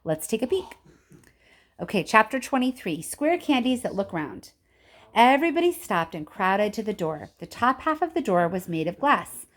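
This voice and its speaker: A woman's voice: medium pitch, big pitch swings, moderate pace. A woman narrating a children's book expressively, like a teacher reading aloud. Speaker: story reader, teacher.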